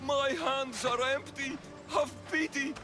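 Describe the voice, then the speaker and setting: Very high-voiced cornered cult villain. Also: male voice, steady tone, slow. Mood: pleading. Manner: grandiose, imploring. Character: cornered, pleading zealot cult villain, cowardly, self-important. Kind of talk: dramatic video game voice acting. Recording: music under the dialogue.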